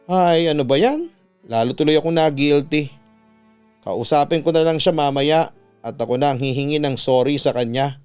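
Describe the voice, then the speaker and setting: Man acting as a high, pleading, grief-stricken sister. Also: moderate pace, darkish tone, smooth, faint music behind, natural voice. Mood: guilty. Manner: tearful, repetitive begging.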